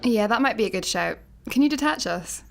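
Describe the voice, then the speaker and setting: High-voiced, blunt space adventurer. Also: female voice, lively intonation, a little fast, gravelly. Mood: dry, breezy. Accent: Scottish. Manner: casual, dry. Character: blunt, guarded, dryly sarcastic young woman adventurer. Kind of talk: scripted sci-fi comedy radio acting.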